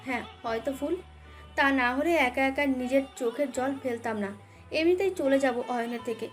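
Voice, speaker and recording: A woman narrating a dramatic romance story as a scripted audio tale. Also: sits high. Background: background music.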